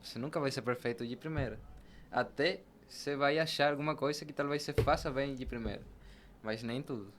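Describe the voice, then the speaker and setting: A man's voice: mid-range voice, fairly quick, a bit bright, a little hiss or hum. A man speaking as an interview guest, telling his experiences in a conversational podcast style. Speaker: podcast guest, barber and shop team leader.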